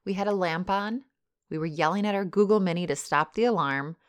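A woman's voice: fairly low pitch, fairly quick, clean tone. A woman with a Wisconsin accent co-hosting a podcast, chatting casually and reading listener stories aloud. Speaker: podcast co-host. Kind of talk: casual chat.

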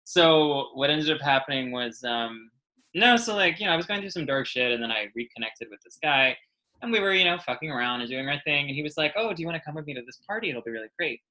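An American man from New York chatting casually as a podcast guest, telling personal anecdotes in an animated, self-mocking way with plenty of swearing. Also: fairly high pitch, fast talker, poor audio. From New York City, United States.

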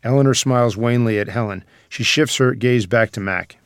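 A man's voice: medium pitch, normal speed, clean tone, dry recording. Male narrator reading screenplay, dry sound. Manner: dramatic present-tense storytelling. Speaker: narrator reading stage directions.

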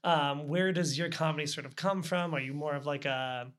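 A man's voice: fairly high pitch, normal speed, fairly bright tone. A man hosting a casual podcast interview, asking questions in a playful, rambling way. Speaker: podcast host.

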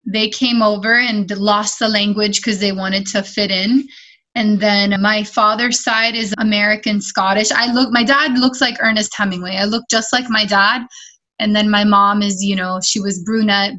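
A woman in her forties from Miami, speaking as a podcast guest at a medium pitch. She tells her story in a chatty, lively, conversational way, with stories that pile up one after another. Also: moderate pace, dull audio. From Miami, Florida. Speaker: podcast guest, wellness platform founder. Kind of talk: casual interview.

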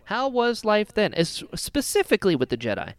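Casual podcast host, smooth clear voice, very lively intonation, dry close sound, acts out quips. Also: mid-range voice, normal speed, no echo. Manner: animated, acts out imagined dialogue in quotes. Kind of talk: casual chat, pop-culture discussion.

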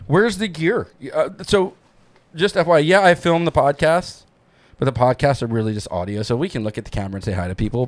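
Eager rambling male podcast host. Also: mid-range voice. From New Jersey. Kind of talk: casual podcast chat.

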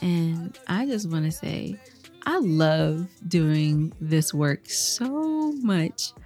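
A woman's voice: on the low side, very animated, drawn-out words, dark tone, slightly rough, soft background music. Playful teasing female podcast co-host. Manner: playful, laughs often, teasing. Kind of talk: casual chat.

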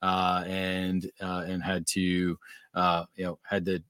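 Podcast host guy, super deep voice, lots of uhs and you-knows, dry sound. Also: completely flat delivery, slow pace, a bit bright, smooth, no echo. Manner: hesitant, many fillers and restarts. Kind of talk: interview questions.

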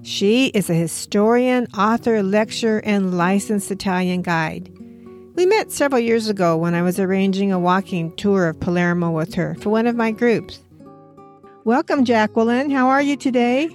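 Measured woman hosting a podcast. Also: mid-range voice, darkish tone, soft background music. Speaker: podcast host. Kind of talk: scripted intro and interview questions.

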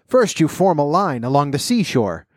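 A man voicing a boisterous, brusque gryphon in a children's storybook, with an emphatic, excitable delivery. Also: fairly high pitch, moderate pace, dry recording, studio quality. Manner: emphatic, interrupting. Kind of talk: children's storybook character reading.

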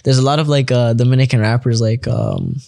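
A man's voice: mid-range voice, fairly even intonation, brisk, vocal fry, a little mellow. A young man with a Toronto accent, a rapper guest on a podcast, talking in a laid-back, slangy way and often calling the host "fam.". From Canada. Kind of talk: casual chat.

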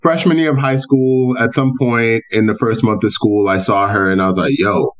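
A man's voice: on the low side, steady tone, normal speed. A man hosting a casual podcast, bantering playfully with slang and telling stories about his guest. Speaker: podcast host.

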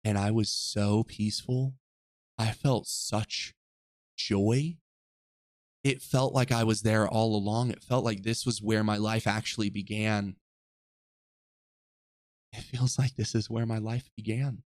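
A man's voice: fairly low pitch, fairly even intonation, a little slow, long pauses, a little mellow, dry recording, clean studio sound. A young adult man hosting a solo podcast, talking earnestly and rambling, often correcting himself mid-sentence. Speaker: podcast host. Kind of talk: reflective solo podcast monologue. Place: lives in the western United States.

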